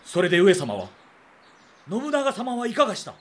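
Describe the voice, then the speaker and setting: Commanding samurai lord, wildly swooping. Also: male voice, sits high, wildly swooping pitch, normal speed, full character voice. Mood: anxious. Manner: lordly archaic speech. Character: proud, loyal samurai lord, impassioned and commanding. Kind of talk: Japanese period audio drama acting.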